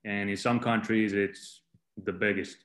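A man's voice: lowish voice, fairly even intonation, unhurried, fairly bright tone. A man from Finland, a coach giving plain-spoken, explanatory answers as an interview guest. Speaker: podcast guest, strength and conditioning coach. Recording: roomy, echoing sound.

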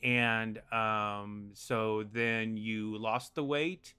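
Loud, bright-voiced chatty man. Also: fairly low pitch, drawn-out words, smooth. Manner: naturally loud, animated storytelling. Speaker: podcast guest, improv performer. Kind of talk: casual chat.

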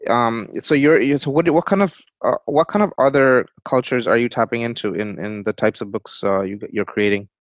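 Man, mid-pitched, dry close sound, halting interviewer with many fillers. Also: dry recording. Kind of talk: conversational interview.

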